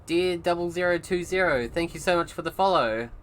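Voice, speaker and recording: A man with a New Zealand accent chatting casually while he plays a game on a livestream, relaxed and quick to chuckle. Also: fairly high pitch, slight background noise. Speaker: gaming streamer. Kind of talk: casual live gameplay commentary. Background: video game music.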